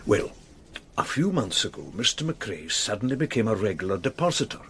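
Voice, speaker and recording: An actor playing a prim, talkative bank manager in a radio drama, explaining things in a measured, businesslike way. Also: male voice, fairly low pitch, sing-song, a bit bright, slight background noise. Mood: earnest, explanatory.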